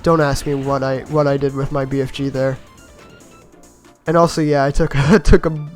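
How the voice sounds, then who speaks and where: A man with a slightly raspy voice from being a bit sick, giving easygoing, rambling voice-over commentary on his own game run and laughing at his own mistakes. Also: mid-range voice, level tone, normal speed, faint music behind. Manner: easygoing, rambling, self-mocking with chuckles. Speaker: gameplay commentator.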